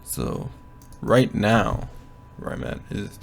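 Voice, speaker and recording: A young man giving a casual, rambling progress update from his bedroom, often pausing to think aloud. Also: fairly low pitch, big pitch swings, creaky, slight background noise. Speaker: language learner vlogger. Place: bedroom at home.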